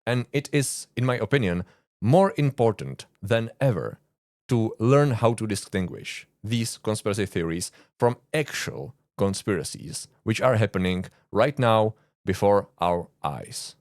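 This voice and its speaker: Czech-accented man, low dry voice. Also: expressive, a little slow, dry recording. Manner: earnest, informal, with frequent uh fillers and dry asides. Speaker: YouTube host, conspiracy researcher. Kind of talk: explainer monologue to camera.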